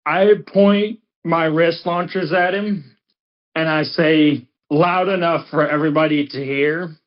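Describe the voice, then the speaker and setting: A man's voice: on the high side, fairly even intonation, a little slow, muffled recording. A man playing a tabletop game, casually describing a character's actions in the first person.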